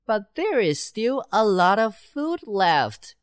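A woman voicing a small, lively city mouse in a children's storybook, very high and squeaky. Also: slow, smooth. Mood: coaxing. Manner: very high, excited, bossy whisper-to-squeal.

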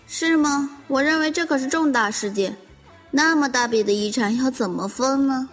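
Woman doing snobby impatient young rich girl, highish voice, echoey radio drama. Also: normal speed, lightly acted. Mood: eager, scornful. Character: blunt, haughty, impatient young niece from wealthy family. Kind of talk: Chinese radio drama acting. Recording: long reverb, music underneath.

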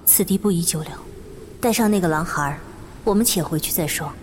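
A woman's voice: on the low side, a little hiss or hum, close to an everyday voice. A woman playing a composed, commanding martial-arts master in a Chinese period audio drama, speaking formally. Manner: solemn, measured, formal.

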